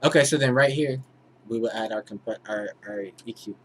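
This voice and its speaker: A man's voice: mid-range voice, moderate pace, crowd noise. A man giving a casual, informal tutorial as a music producer, explaining things step by step. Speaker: music producer teaching vocal mixing.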